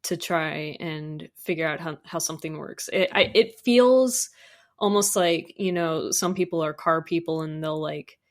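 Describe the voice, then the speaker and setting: A woman's voice: sits low, unhurried. A woman chatting casually as a podcast guest, with an enthusiastic, informal way of talking. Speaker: guest, audio drama composer. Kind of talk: casual podcast interview chat.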